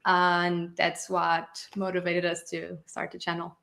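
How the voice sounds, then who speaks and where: A woman you'd hear as a guest on a relaxed creator interview, sharing personal experiences. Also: fairly low pitch, measured pace, vocal fry. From Portugal. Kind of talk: casual chat, personal storytelling.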